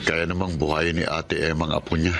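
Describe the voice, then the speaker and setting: A man's voice: rumbling low, level tone, fairly quick, gravelly, a bit bright, a little hiss or hum, full character voice. A man playing a plain-spoken, principled working-class father in a radio drama, with a Filipino accent. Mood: matter-of-fact.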